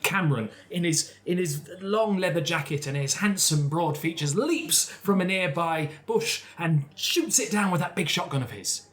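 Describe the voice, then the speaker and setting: A performer acts out an ancient magical granny for a tabletop game. She is chatty and doting, full of endearments, and her pitch swoops about in a theatrical way. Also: expressive, normal speed, hardly any pauses, a bit bright, close to an everyday voice. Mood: dramatic. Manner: gushing endearments, chatty and grandmotherly. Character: doting, theatrical old grandmotherly woman, centuries old, magical.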